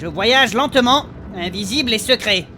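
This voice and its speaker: A man's voice: sits high, expressive, normal speed, sharp tone, soft background music. A man playing an eager, curious adventurer in a comic fantasy audio drama, casual, slangy and a little hesitant. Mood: alert. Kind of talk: French fantasy comedy audio fiction acting.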